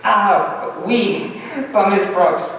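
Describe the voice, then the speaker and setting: A man's voice: high voice, extremely slow, a bit bright, exaggerated voice. A man playing a bashful, bookish teacher in a radio comedy, speaking in a hesitant, stiffly formal way. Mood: flustered, reluctant. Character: bashful, bookish male biology teacher, easily flustered. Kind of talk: 1940s-style radio comedy stage acting. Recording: echoey hall from far off.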